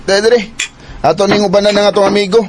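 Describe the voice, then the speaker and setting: Man as brash, high-pitched Cebuano drinking buddy. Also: level tone, rapid-fire, noisy background, close to an everyday voice. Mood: chummy, urging. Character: brash, nagging male drinking buddy, blunt and chummy. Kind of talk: Filipino radio drama acting.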